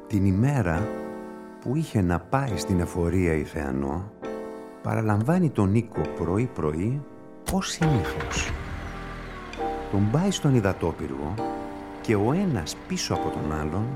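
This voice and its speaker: Radio play detective guy, lowish voice that moves around a lot, patient, explains step by step. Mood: pondering. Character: male police investigator, patient, methodical, firmly reasoning. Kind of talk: radio drama acting.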